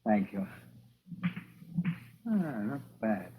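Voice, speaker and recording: An actor playing a supercilious, self-assured young gentleman with an aloof, clipped, formal manner, in 1940s radio style. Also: on the low side, very animated, drawn-out words, a little hiss or hum, full character voice. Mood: cool, disdainful. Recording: muffled vintage radio recording.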